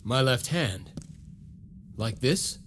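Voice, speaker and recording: An actor playing a noble young vampire hunter in a video game, speaking in an earnest, solemn and formal way. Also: male voice, mid-range voice, expressive, very slow, fairly bright tone, slight background noise. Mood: puzzled. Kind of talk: video game cutscene voice acting.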